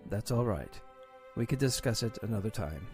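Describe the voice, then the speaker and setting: A man's voice: lowish voice, animated, moderate pace. Man playing suave secret agent, music behind. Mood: calm, concerned. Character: suave, determined male British secret agent. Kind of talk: amateur audio drama acting.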